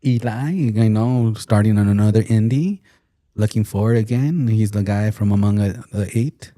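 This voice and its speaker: A man in his forties with a low, dark voice co-hosts a casual podcast. He talks bluntly, jokes around, and swears freely. The sound is dry. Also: normal speed, dry recording. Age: 40s. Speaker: podcast co-host. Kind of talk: casual chat.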